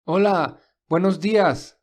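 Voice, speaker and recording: A man acts out a polite, resourceful young man from an audio drama. His voice sits a little high for a man. He speaks in an earnest, reassuring way. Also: slow. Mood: courteous, persuasive. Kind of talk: audio story character dialogue.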